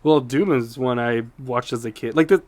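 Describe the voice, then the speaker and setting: Man, podcast co-host, casual chat, medium pitch, easy to laugh. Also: normal speed, darkish tone, dry recording. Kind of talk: casual chat about video game movies.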